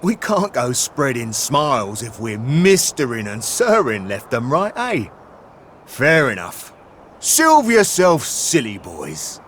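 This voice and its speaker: A man's voice: medium pitch, huge pitch swings, takes it slowly, a bit bright, a little hiss or hum, heavily acted. An actor playing a blunt, teasing male companion in a video game, speaking in a brusque, scolding way. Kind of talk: video game cutscene voice acting. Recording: game music behind.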